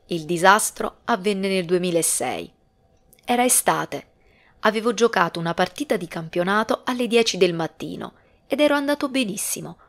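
A woman's voice: on the low side, a bit bright. A woman reading a novel aloud as scripted audiobook narration. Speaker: audiobook reader.

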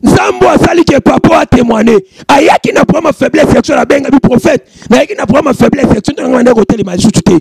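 A preaching man with a strikingly high, gravelly and husky voice holds a handheld mic in a dry studio. He chants exclamations and mixes French with Lingala as he delivers messages. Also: fast, few pauses, darkish tone, dry recording, soft background music. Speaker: prophet and show host. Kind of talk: preaching and reading out prayer messages. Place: studio with warm lamp backdrop. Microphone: handheld microphone.

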